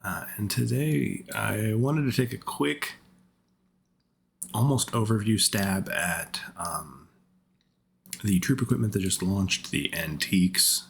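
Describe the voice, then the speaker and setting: Guy talking thru a game update, deep rough voice like he's been sick with colds, kinda rambly. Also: slow pace, slight background noise. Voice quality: husky, rough voice. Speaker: game content creator. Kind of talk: casual gaming commentary.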